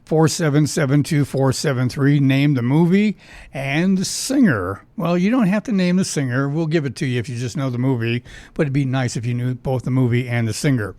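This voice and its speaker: A man's voice: medium pitch, a little fast, a little mellow. A man hosting a casual oldies trivia show on the radio, talking in a chatty, folksy, conversational way. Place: radio studio.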